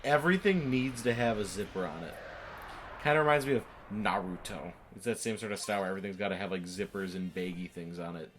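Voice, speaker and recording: A man's voice: low voice, quick pace, a bit bright, slight background noise. A man chatting casually through a video game, rambling and opinionated as he analyses it. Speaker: gaming show co-host. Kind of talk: casual let's play commentary chat.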